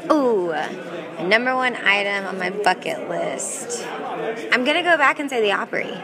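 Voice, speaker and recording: A woman's voice: mid-range voice, lively intonation, unhurried. A young woman country singer answering interview questions in an upbeat, chatty way, often restarting her sentences. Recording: crowd audible behind. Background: crowd chatter.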